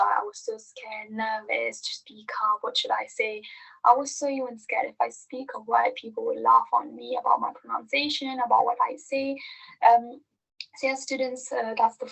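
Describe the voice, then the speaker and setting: A young woman from Afghanistan, with Pashto as her first language, speaks in a recorded message. Her voice sits fairly high with a gravelly, husky edge. She talks earnestly and hesitantly, pausing on plenty of fillers. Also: fairly bright tone, low-quality recording. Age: young adult. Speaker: university student sharing advice. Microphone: recorded message, played in webinar.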